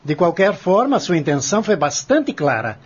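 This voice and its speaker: An actor playing a stern lawyer in an audio drama, questioning a defendant in a formal, pointed, accusatory way. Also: male voice, on the high side, very animated, moderate pace, slightly rough. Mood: accusing. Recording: dull lo-fi audio.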